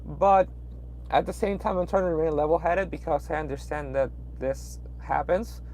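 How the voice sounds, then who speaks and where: A young man from Peru talks in his Peruvian Spanish accent, slightly high-pitched and full of hesitations and restarts as he answers viewer comments. He is sitting in his truck cab at night, with a faint engine hum behind him. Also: normal speed, darkish tone. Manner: rambling, hesitant, with frequent fillers and restarts. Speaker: truck driver livestreaming. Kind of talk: casual livestream chat reading viewer comments.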